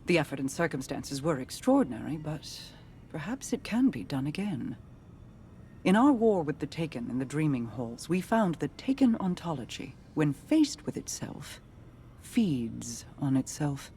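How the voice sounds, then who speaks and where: A woman playing a solemn, guarded noblewoman and arcane strategist in a video game, formal, deliberate and grave. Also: deep voice, big pitch swings, dark tone, a little hiss or hum.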